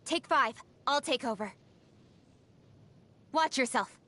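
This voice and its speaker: An actress playing a cool, brisk young female fighter in clipped video game battle lines. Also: sits high, expressive, fairly bright tone, heavily acted. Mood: cool, businesslike. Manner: clipped, terse phrases.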